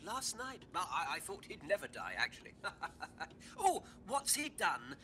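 A gossipy, callous businessman in an audio drama, with an extremely animated, piercingly bright voice. He drawls slowly and hesitantly, sounding amused. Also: high-pitched, heavily acted. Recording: faint ambient noise behind.

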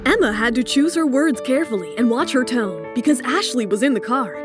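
A woman's voice: a little high, lively intonation, a little fast. A woman narrator reading a scripted audio drama story. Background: music bed.

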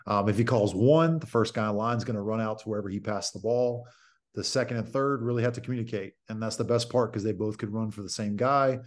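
A man in his thirties talks through a basketball drill over a video call from a home office. His voice is fairly low and steady. He explains plainly, with frequent fillers. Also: quick pace. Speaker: basketball coach guest. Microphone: video call webcam.

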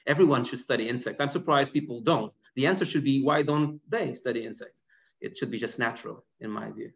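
A man's voice: mid-range voice, fairly quick, creaky. A man in his thirties, originally from Portugal, answering audience questions as a guest biologist in an online lecture, talking animatedly. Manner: animated, gesturing while explaining. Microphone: laptop webcam mic on video call. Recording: dull lo-fi video call audio.

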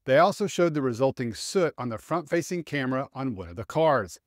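A man's voice: mid-range voice, very animated, fairly quick, no echo. A middle-aged man presenting scripted motorsport opinion commentary into a close studio microphone. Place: small home studio room. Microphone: close studio microphone on stand.

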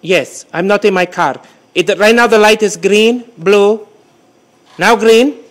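A man playing a confused foreign caller who speaks in halting, broken English and keeps repeating himself on a prank phone call. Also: sits high. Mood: excited.

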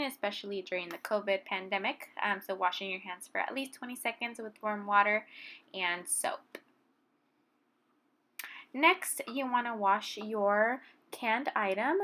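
Young adult woman, mid-pitched, instructional cooking demo host, explains each step. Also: a bit bright.

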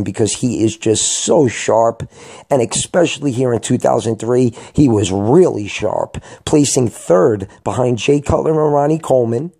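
Hoarse male vlogger, gravelly, mellow tone, emphatic casual fan commentary. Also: medium pitch. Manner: emphatic, profane, colloquial. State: hoarse from a cold. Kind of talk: enthusiastic video commentary.

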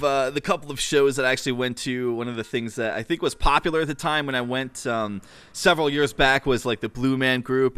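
A man casually narrating his city-building game as the host of a let's play channel, his voice a little raspy and worn, chatty and enthusiastic with plenty of fillers. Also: medium pitch, a bit bright, dry recording. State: slightly raspy, tired voice. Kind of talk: casual gameplay commentary.

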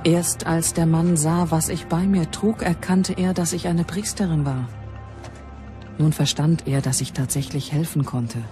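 Grave Germanic priestess, over music. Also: female voice, low-pitched, steady tone, unhurried, warm tone. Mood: solemn, wary. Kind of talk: dramatised first-person documentary narration. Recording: music behind the voice.

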